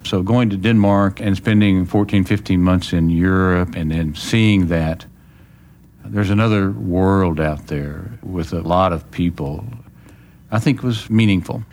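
A man from small-town Texas with a Texan accent, giving plain-spoken, measured recollections as an interview guest. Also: deep voice, a little mellow. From small-town Texas, United States. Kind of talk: reflective personal recollection in an interview.

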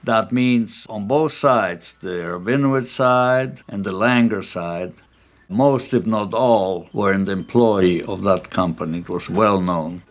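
A man in his eighties from Poland, recalling his early life in a measured, reflective way during an oral history interview at his home. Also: fairly low pitch. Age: 80s.

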